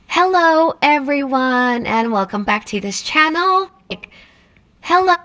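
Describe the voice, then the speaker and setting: Bright, slow woman through a voice filter. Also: sits high, expressive, no room sound. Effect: voice-changing app filter. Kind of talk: slow sample greeting played back.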